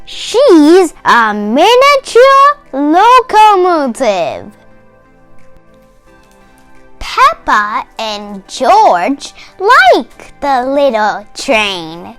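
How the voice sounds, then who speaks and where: A very high young voice, a child's, reads a picture story aloud at a very slow, deliberate pace. The delivery is expressive and sing-song, swinging up and down, with clear music playing behind it.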